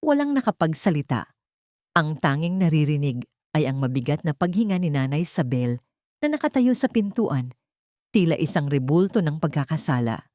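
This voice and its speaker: Expressive woman narrating a dramatic story. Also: low-pitched, moderate pace, no room sound, studio quality.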